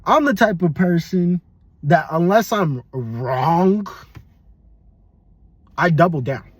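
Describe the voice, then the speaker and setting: Husky, high-pitched man talking fight picks. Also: expressive, takes it slowly, dry recording. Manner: blunt, profane, rambling with restarts. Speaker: MMA podcast host. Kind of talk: casual fight predictions.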